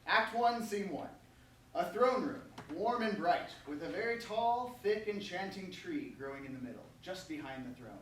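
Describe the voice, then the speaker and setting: A man's voice: high voice, lively intonation, normal speed, a bit bright. A man reading stage directions as scripted narration for a staged play reading. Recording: echoey room, distant mic, faint noise.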